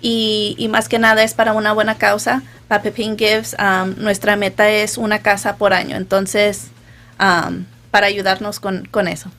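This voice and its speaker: A woman guest on a radio show, speaking quickly and casually with a few fillers. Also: medium pitch, level tone, a bit bright.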